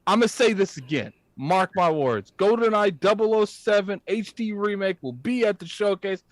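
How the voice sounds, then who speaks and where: A man co-hosting a podcast, reading out live chat messages in an animated, informal way. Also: high-pitched, moderate pace, no echo. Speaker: podcast co-host.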